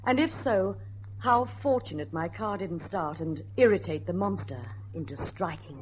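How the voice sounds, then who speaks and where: A woman's voice: lowish voice, animated, normal speed, natural voice. Actress as earnest woman in old radio thriller. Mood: measured storytelling. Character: urgent, weary married woman narrating her flood ordeal. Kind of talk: radio drama narration and dialogue. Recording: dull, noisy old radio recording.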